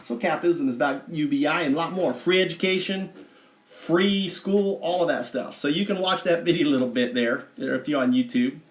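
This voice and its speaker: A man's voice: on the high side, a little fast, close to an everyday voice. A man putting on an American Southern accent as a brash, folksy redneck livestream host who rambles, boasts and swears casually to his viewers. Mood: chatty, rambling. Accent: put-on American Southern. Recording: roomy, echoing livestream audio.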